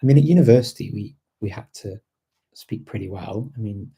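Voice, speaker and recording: A man's voice: lowish voice, fairly quick, vocal fry, warm tone, slightly rough. A middle-aged British man hosting a casual live chat, rambling enthusiastically with lots of fillers and restarts. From Britain. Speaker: language-learning host answering viewer questions.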